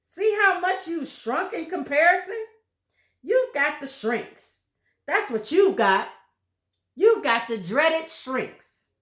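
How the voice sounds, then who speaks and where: A woman's voice: high-pitched, lively intonation, takes it slowly, a bit bright, roomy sound, voice pushed up, heavily acted. A woman reading as a jeering, nasty old husband. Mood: taunting. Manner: taunting, mock-alarmed, jeering. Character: nasty gloating old husband, cruel trickster.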